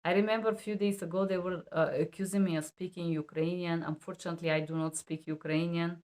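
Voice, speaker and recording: A woman's voice: lowish voice, level tone, fast, darkish tone. A woman who works as a medical and legal interpreter, teaching to camera in emphatic, non-native English and bluntly repeating key rules. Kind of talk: instructional talk to camera.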